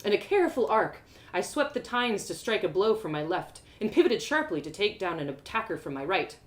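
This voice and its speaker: A woman narrating a first-person fantasy tale as a storyteller, expressive and playful. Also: mid-range voice, brisk.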